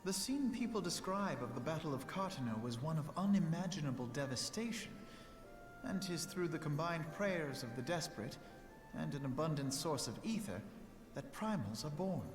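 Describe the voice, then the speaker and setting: An actor playing an earnest, scholarly young nobleman, measured and diplomatic, with eloquent archaic diction in a fantasy video game. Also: a little high, lively intonation, natural voice. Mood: measured, reasoning. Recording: echoey, reverberant game audio under loud music.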